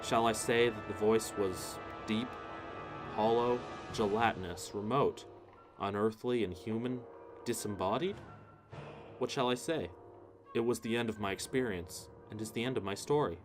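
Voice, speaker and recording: Deep-ish guy reading a horror story, solemn n theatrical, clear music underneath. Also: moderate pace. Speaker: story narrator. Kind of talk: dramatic first-person horror narration.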